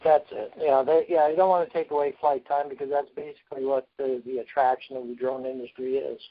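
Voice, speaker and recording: A man speaking as a company executive on a conference call, pitching a product in a plain-spoken, salesman-like way. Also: little pitch movement, fairly quick. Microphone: phone. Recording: dull lo-fi conference phone line.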